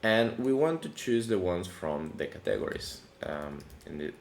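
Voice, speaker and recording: A man hosting a casual coding tutorial, his voice deepened by allergies, talking in a relaxed, chatty way with many fillers and restarts. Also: normal speed, creaky. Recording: echoey room with faint background noise.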